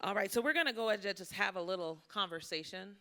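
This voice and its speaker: Bright-voiced woman hosting a live panel. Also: mid-range voice, lively intonation, fast. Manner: encouraging, folksy, colloquial. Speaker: panel moderator. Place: indoor luncheon event before an audience. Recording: live event room with crowd.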